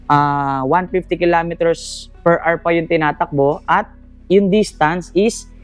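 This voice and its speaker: A man's voice: fairly high pitch, a little slow, music in the background. Enthusiastic Filipino man showing off e-bikes. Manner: enthusiastic, hyping deals to viewers. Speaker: vlogger. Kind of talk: casual product showcase vlog. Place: e-bike warehouse.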